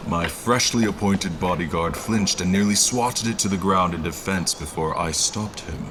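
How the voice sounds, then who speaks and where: A man playing a weary, dignified nobleman traveler in an audio drama, narrating his journey in formal, measured, old-fashioned phrasing. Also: sits low, background noise, natural voice. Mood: reflective. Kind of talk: scripted first-person story narration.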